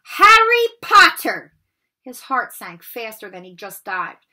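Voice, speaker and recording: A woman in her forties reading a children's novel aloud in an expressive storytelling style. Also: mid-range voice, measured pace. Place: bedroom at home, indoors.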